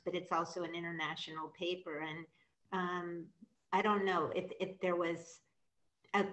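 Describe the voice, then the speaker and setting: Low-voiced woman asking rambling questions. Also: fairly bright tone, low-quality recording. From American Midwest. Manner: hesitant, many restarts and ums, asks long questions. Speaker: interviewer. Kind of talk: conversational video-call interview. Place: room with brick wall behind. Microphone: video call.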